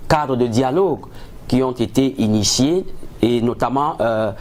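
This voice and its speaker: A man answering as a political analyst in a formal, measured, somewhat hesitant way, dotted with rhetorical tags. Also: medium pitch, a little mellow. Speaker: political analyst, studio guest.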